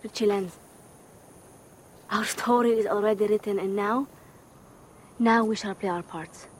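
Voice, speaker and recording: A woman's voice: medium pitch, fairly even intonation, unhurried, lightly acted. A woman playing an earnest, hopeful teenage Afghan girl singer in a film scene, with ambient noise behind her. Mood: solemn, pleading. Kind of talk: film dialogue. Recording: film clip with noisy outdoor ambience.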